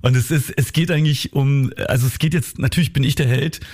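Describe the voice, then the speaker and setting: A hoarse middle-aged man from Berlin, a guest author chatting in a radio studio with self-mocking jokes. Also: medium pitch, fairly even intonation, brisk, a little mellow. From West Berlin, Germany. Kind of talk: casual interview chat. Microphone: studio microphone.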